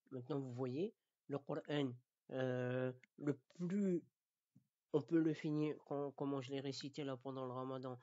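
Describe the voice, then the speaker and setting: A male teacher from France speaks in a mid-pitched voice, explaining things informally to learners. His delivery is halting, with repeated words and frequent checks that they follow. The recording is very dry and a little dull. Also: darkish tone, no echo. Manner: didactic, checks understanding. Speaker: Quran recitation teacher. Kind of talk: informal spoken lesson addressed to learners.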